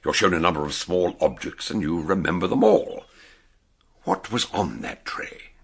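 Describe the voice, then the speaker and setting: Deep, gravelly British detective. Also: male voice, sing-song, normal speed, slight background noise, full character voice. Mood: probing. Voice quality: gravelly, husky. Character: eccentric, probing older male amateur detective. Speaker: detective and narrator. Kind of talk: British radio drama acting, narration and dialogue.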